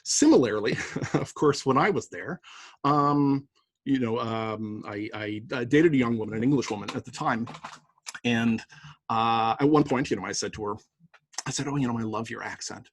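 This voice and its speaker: Canadian male lecturer, husky mid-pitched voice, chatty, storytelling, dry close recording. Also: moderate pace, no echo. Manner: conversational, anecdotal, lots of fillers and rhetorical "right?". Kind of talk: university lecture.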